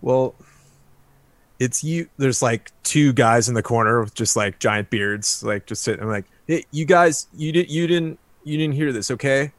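A man's voice: mid-range voice, moderate pace, dry recording, voice pushed down, natural voice. A man playing a defensive, washed-up young snowboarder who rambles and stammers through excuses in an improvised roleplay.

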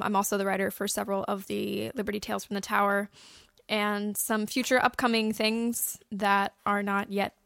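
A woman's voice: mid-range voice, creaky, a bit bright, dry recording, studio quality. A woman co-hosting a podcast as a writer, explaining things in casual, informal chat. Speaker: podcast co-host and audio drama writer.

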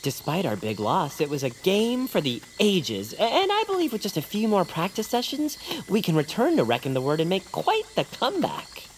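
A man's voice: high voice, wildly swooping pitch, normal speed, few pauses, slight background noise, rough recording. A man playing an earnest, eager, boyish best pal in an audio comedy drama, with chipper, old-fashioned wholesome phrasing. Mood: upbeat, encouraging. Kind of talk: cartoonish audio drama acting.

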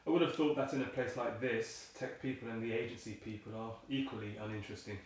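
An actor as a weary father in an audio drama, musing and grumbling with a questioning, declamatory turn. The medium-pitched male voice echoes heavily over faint noise. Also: fast, natural voice. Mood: musing, exasperated. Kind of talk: audio play acting, musing dialogue.

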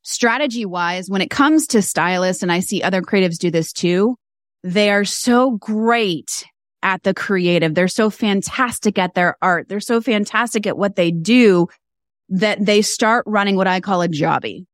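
A woman's voice: mid-range voice, a little slow, dry recording. Emphatic woman, dry close sound. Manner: animated, emphatic, builds points through repeated phrases. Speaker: guest, fashion stylist and business mentor. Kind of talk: podcast interview conversation.

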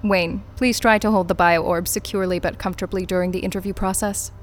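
An actress playing a coolly polite, probing corporate interrogator in an audio drama, speaking in a brisk, formal, dryly sardonic way. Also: female voice, fairly low pitch, steady tone, faint music behind, natural voice. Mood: clinical, probing.

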